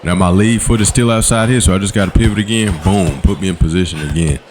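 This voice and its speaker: Low-voiced man breaking down gameplay. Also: noisy background. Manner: laid-back, coaching, slangy with quick "boom" call-outs. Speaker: gaming channel host. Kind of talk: gameplay voice-over commentary. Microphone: voice-over recorded separately from the footage.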